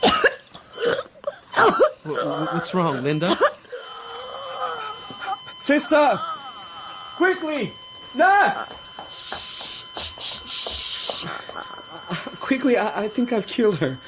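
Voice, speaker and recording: An intense, anguished young man in a radio play. He speaks slowly and theatrically, with big swings of pitch and a volatile, poetic temper. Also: huge pitch swings, noisy background, heavily acted. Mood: panicked. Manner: declamatory, intense, archaic poetic phrasing. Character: anguished, volatile young man, a self-styled savage quoting Shakespeare. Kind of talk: theatrical radio drama acting.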